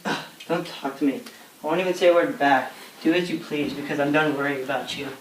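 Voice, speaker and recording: A man's voice: fairly high pitch, brisk, slight background noise, lightly acted. An actor playing a cold, impatient aristocratic mother who speaks curtly and dismissively. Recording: echoey room from far off, faint clicks.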